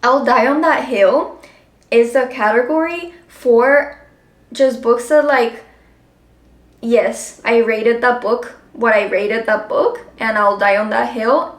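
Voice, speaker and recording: Chatty woman, roomy echo. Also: fairly high pitch. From Colombia. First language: Spanish. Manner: chatty, frequent "like" fillers, upbeat. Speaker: book vlogger. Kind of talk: casual chat to camera.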